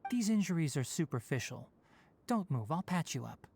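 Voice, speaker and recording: A man voicing a calm, aloof but caring young forest ranger in a video game, articulate and clinical. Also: on the high side, moderate pace, gravelly, slight background noise. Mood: composed, clinical. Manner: clinical, matter-of-fact, articulate. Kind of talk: English game voice acting. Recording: game music behind the voice.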